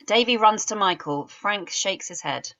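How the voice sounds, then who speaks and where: A woman narrating stage directions in a script table read, measured and descriptive. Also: mid-range voice, expressive, fairly bright tone, lo-fi sound.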